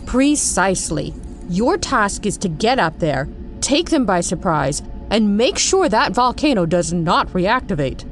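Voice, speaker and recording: An actress playing an authoritative, composed army general in an audio drama. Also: female voice, medium pitch, big pitch swings, measured pace, soft background music. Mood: commanding.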